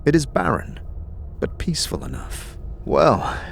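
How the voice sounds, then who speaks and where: A man narrating a scripted second-person fantasy story as an atmospheric, measured storyteller. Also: on the low side, animated, slight background noise.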